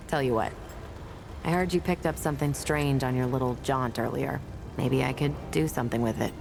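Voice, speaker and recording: An actress playing a gruff, prickly weapons tinkerer, an impatient loner in a video game, with blunt sardonic delivery. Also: female voice, very deep voice, moderate pace, long pauses. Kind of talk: video game character dialogue.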